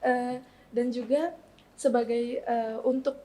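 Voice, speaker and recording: Mellow-voiced teen girl, careful and formal. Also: on the high side, fairly even intonation. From Palembang, South Sumatra. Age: teens. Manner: careful and formal, with hesitant "eh" pauses. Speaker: student researcher guest. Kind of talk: formal statement in an interview.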